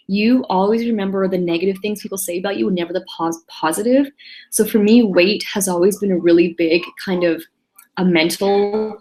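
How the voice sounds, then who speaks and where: A woman in her late thirties hosting a casual online webinar alone, chatty and self-deprecating, with quick laughs and apologies. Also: mid-range voice, a little mellow, poor audio. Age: 30s. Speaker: webinar host. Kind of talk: casual solo webinar presentation.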